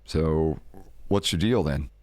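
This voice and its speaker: Low-voiced, blunt ranch hand. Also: male voice, slow pace, darkish tone, dry recording. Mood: wary, shocked. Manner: blunt, grumbling, suspicious. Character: loyal, plain-spoken, skeptical male ranch hand. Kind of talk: full-cast audio drama acting.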